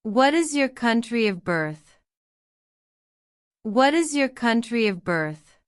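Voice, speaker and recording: Woman, practice-drill reader, repeats every line, unhurried, crisp and dry. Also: on the high side, sing-song, dry recording. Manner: reads each line twice, slow and deliberate. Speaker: citizenship test practice narrator. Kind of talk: slow repeated question-and-answer drill.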